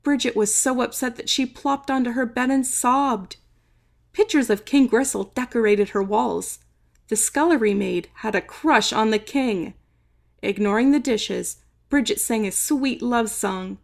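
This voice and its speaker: A woman reading a children's story aloud expressively, with dramatic emphasis and some drawn-out words. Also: fairly high pitch, creaky. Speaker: storybook reader. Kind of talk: expressive children's read-aloud, narrating in first person.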